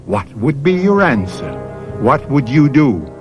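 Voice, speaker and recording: A man hosting and narrating a radio mystery drama in a theatrical, deliberate way, addressing the listener directly. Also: mid-range voice, sing-song, dull audio. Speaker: host and narrator. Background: music.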